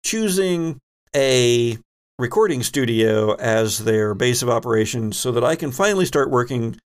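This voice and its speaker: A man's voice: medium pitch, animated, unhurried, smooth. A man acting as game master, narrating scenes and explaining rules in a conversational way. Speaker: game master and host of a tabletop role-playing show.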